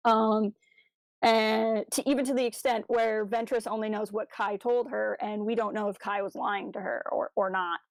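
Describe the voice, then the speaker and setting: A woman's voice: mid-range voice, little pitch movement, normal speed, no echo. A woman co-hosting a podcast, chatting casually in an enthusiastic, analytical way about stories. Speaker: podcast co-host. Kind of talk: casual discussion of a fan audio drama.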